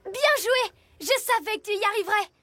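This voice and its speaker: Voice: very high-pitched, expressive, brisk, very noisy. An actor playing an eager, encouraging six-year-old boy superhero in a French children's cartoon, with music behind. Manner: eager, exclamatory. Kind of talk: French animated children's cartoon dub acting.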